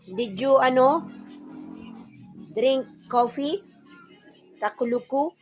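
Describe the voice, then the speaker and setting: A woman with a Filipino accent and Tagalog as her first language, hosting a casual livestream and repeatedly calling out names and shout-outs to viewers. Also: high-pitched, slow pace, soft background music. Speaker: livestream host. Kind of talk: casual live-stream chat, greeting viewers and reading comments.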